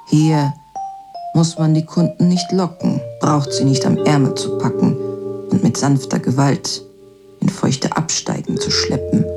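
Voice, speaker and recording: A man narrating a literary radio drama in a measured, detached, observational way. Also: a little high. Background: mallet percussion music.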